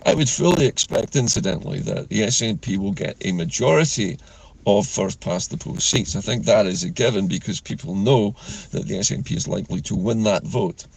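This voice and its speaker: A man, hoarse from a chest infection, hosting a measured, earnest political vlog from inside his car. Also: fairly low pitch, lively intonation, darkish tone, low-quality recording. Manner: measured, earnest, with frequent fillers. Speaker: political vlogger host. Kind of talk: talking-head political commentary.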